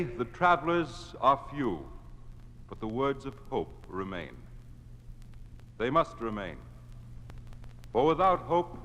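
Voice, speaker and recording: An actor playing a solemn, fervent preacher delivering a measured, oratorical sermon in a 1950s radio drama. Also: male voice, mid-range voice, a bit bright, in a large hall. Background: music.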